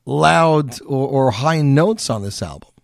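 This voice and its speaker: A man's voice: mid-range voice, animated, measured pace, creaky, dry recording, studio quality. A man interviewing a singer in a casual, chatty way with many fillers.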